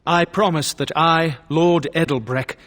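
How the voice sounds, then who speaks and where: High-voiced, impassioned lord. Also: male voice, measured pace, a bit bright. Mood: formal, reverent. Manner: impassioned, formal. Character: earnest, indignant lord pleading for starving peasants. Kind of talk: scripted fantasy game dialogue. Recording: long hall reverb.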